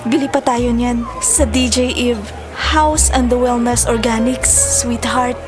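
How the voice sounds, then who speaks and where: A woman playing a gentle, apologetic girlfriend longing to be accepted, in an earnest audio-drama style. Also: on the high side, steady tone, measured pace, over music, close to an everyday voice. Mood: earnest worry.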